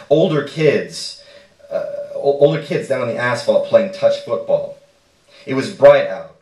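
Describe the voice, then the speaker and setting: A man's voice: on the low side, darkish tone. A man reading a literary short story aloud at a live stage event. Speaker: story reader. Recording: echoey room, distant microphone.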